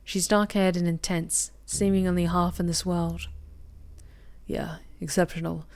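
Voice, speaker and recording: A young woman streamer reading a visual novel aloud, with expressive bursts of excited commentary. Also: on the low side, a little slow. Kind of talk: game narration with live reactions.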